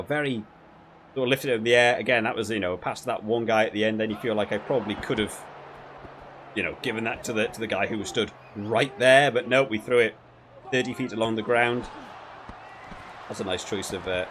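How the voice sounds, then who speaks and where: A man giving casual, rambling commentary while playing a video game, often halting and repeating his words. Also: medium pitch, a little hiss or hum. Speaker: video game reviewer and player.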